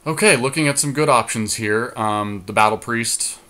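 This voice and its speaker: A man's voice: medium pitch, normal speed, pushed and bright. A man co-hosting a podcast, chatting casually and analytically as he thinks aloud through a card-game draft. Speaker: podcast co-host.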